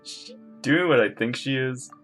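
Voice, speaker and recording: A woman streamer reacting to a game live, playful and excitable, with quick asides, game music faintly behind. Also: rumbling low. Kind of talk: casual live reaction chat.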